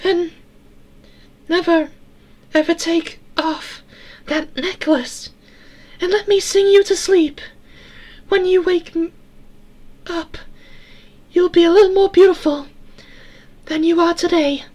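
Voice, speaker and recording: Storybook robot girl, male performer: kindly wish-granter, squeaky-high tinny falsetto, gentle and coaxing. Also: fairly even intonation, slow, long pauses. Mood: sweetly coaxing. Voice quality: tinny, soft girlish falsetto. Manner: kind, coaxing. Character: tinny little female robot, sweet fairy-godmother wish granter.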